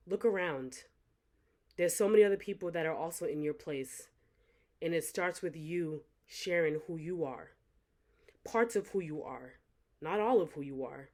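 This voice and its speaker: A woman's voice: on the low side, darkish tone. A young adult woman, an author, speaking earnestly and reflectively to her supporters in a motivational talk, often repeating phrases for emphasis. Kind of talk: motivational direct-to-camera talk.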